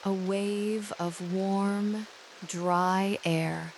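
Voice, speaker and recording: A woman narrates a guided sleep visualization at a very unhurried pace, with a medium-pitched voice. She phrases each thought deliberately and soothingly. A faint hiss of rain runs behind her words. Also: fairly even intonation.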